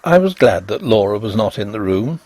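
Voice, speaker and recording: A man narrating a Victorian ghost story as scripted reading, with an expressive, literary delivery. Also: fairly low pitch, moderate pace, dry recording.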